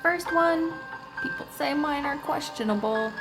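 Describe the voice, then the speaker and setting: A woman hosting a gaming video, reading game dialogue aloud and adding salty, expressive commentary. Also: sits high, measured pace, rough recording. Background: game music.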